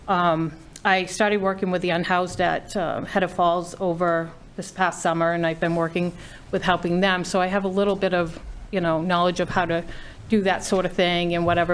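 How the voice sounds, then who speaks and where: A woman resident asks the town council a question at a public meeting, polite and a little tentative, with plenty of fillers. Her voice sits slightly low. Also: a little hiss or hum.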